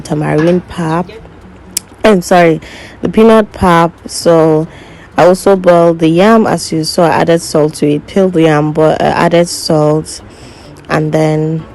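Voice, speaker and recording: A young woman who is not a native English speaker, narrating her cooking step by step in an unhurried way and correcting herself as she goes. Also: low-pitched, darkish tone, a little hiss or hum. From Nigeria. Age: young adult. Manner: unhurried, self-correcting, instructive. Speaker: cooking vlogger.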